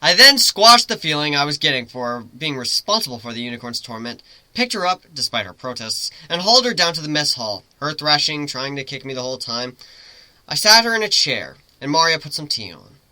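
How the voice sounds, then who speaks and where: Bright, animated male story narrator. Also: on the high side, a little fast, no room sound, low-quality recording. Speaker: story reader. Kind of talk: dramatic first-person fiction narration.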